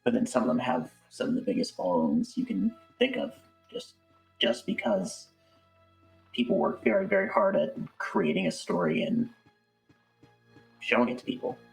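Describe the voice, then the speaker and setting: A man speaking as a guest in a casual interview, in a halting way that repeats words for emphasis. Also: medium pitch, huge pitch swings, creaky, faint music behind, low-quality recording. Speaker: interview guest, audiobook voice actor.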